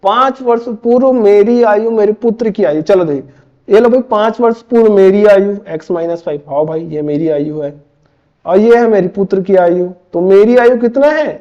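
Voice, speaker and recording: A man's voice: high voice, lively intonation, normal speed, dark tone, lo-fi sound. Chatty young man teaching maths. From Chhattisgarh, India. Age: 20s. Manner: chatty, keeps asking and answering his own questions, says "bhai" often. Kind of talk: casual tutorial explaining board exam maths.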